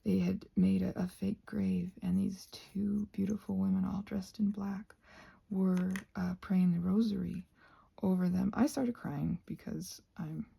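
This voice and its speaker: A woman rambling casually and effusively in a soft ASMR style, often slipping into whispers as she thinks aloud while crafting. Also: medium pitch, fairly even intonation, unhurried, vocal fry, breathy edge.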